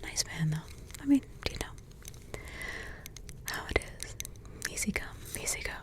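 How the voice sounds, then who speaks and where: A woman voicing a centuries-old witch who is a vampire's teasing mother, in slow, intimate whispers with soft chuckles. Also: low voice, expressive. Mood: teasing, gossipy. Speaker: ASMR roleplay host. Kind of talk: whispered ASMR roleplay.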